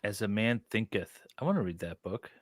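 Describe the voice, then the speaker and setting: A man's voice: on the low side, lively intonation, fairly quick, no echo. A man in casual chat as host, reading a web page aloud and adding sarcastic, mocking commentary.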